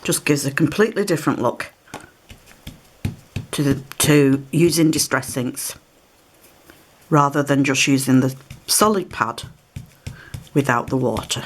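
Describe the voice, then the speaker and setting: A woman's voice: a bass voice, measured pace, pauses often, slight background noise. A woman with a slight cold, chatting casually through a step-by-step craft demonstration at her table. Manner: relaxed, chatty, explains while working. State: has a cold, occasional coughs. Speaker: craft tutorial presenter. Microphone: overhead camera on table, hands shown.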